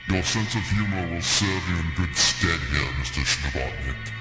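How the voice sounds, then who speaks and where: Mocking radio horror villain, male, low and extremely slow, echoing over loud music. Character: sinister, mocking male villain savoring his victim's doom. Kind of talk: 1940s radio horror acting. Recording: echoey clip under loud music.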